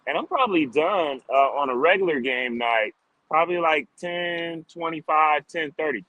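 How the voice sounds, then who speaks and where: A man's voice: on the high side, animated, moderate pace, sharp tone, dry recording, rough recording. A man answering interview questions casually as a street musician, upbeat and informal, often dropping in "like" and "man". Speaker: street drummer guest.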